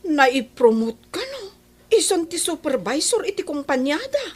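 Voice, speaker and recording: A woman's voice: sits high, sing-song, measured pace. A woman playing a scolding market fish-vendor wife in an Ilocano comedy radio drama, with an Ilocano accent. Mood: resentful. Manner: scolding, loud vendor calls. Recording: noisy mix with faint crowd behind.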